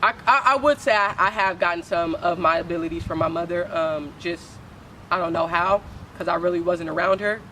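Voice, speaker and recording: A young woman from Oakland with a deep voice, speaking candidly as a poet guest in a casual interview, full of slang and swearing. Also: brisk, bright, forceful tone, slight background noise, low-quality recording. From Oakland, California.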